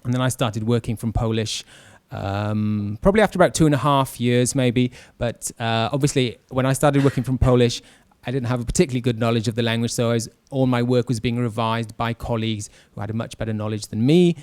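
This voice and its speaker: A man, giving an informal, measured explanatory talk as a panel speaker into a handheld microphone. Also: medium pitch, fairly even intonation, a little mellow, smooth, no room sound. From West Wales. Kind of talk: informal careers talk to an audience. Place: classroom with a seated audience. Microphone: handheld microphone held close.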